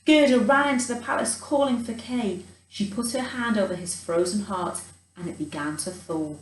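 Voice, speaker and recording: A woman reading a storybook to children in a theatrical, expressive way, adding chatty asides. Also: mid-range voice, moderate pace, slight background noise. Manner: theatrical, dramatic emphasis, chatty asides to young listeners. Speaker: children's storyteller in character as a Christmas elf. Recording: roomy echo, distant from the mic.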